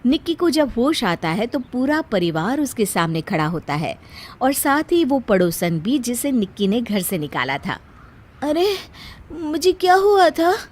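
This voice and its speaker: A woman's voice: mid-range voice, big pitch swings, moderate pace, darkish tone. A woman storyteller reading a scripted family tale as narration.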